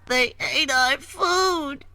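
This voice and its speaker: A tiny sobbing baby panther. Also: male voice, very high voice, very slow, pitched above the performer's own voice, full character voice. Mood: crying. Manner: whiny, sobbing.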